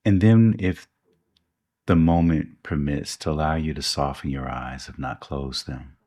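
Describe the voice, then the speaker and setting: A man co-hosting a podcast, leading a guided meditation with soft, slow instructions and long pauses. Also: extremely low pitch, no echo, studio quality.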